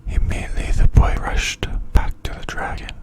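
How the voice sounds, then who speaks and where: Whispering man reading a bedtime story. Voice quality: soft, breathy unvoiced whisper. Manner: slow, hushed, phrase-by-phrase delivery.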